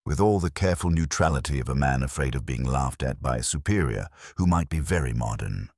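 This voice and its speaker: A man narrating a period mystery story in a measured, dryly humorous manner. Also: extremely low pitch, lively intonation, a little mellow, no echo, studio quality.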